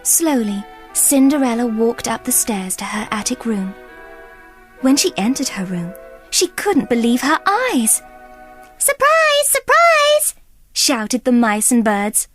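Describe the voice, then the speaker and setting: Female bedtime narrator, highish voice, very varied intonation, unhurried, scripted storytelling, faint music behind. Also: animated.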